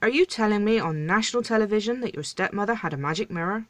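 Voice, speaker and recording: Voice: mid-range voice, quick pace, fairly bright tone, dry recording, close to an everyday voice. Storyteller acting pompous, scandalised talk-show king. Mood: pompous, probing. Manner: theatrical, scandalised, emphatic.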